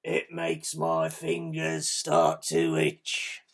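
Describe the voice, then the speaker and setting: A lanky, greedy crook gloats slowly over the money to come, as a reader puts him on. The voice is husky and gravelly, drawn out with relish. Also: mid-range voice, sing-song, heavily acted. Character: lanky greedy male thief, ex-convict.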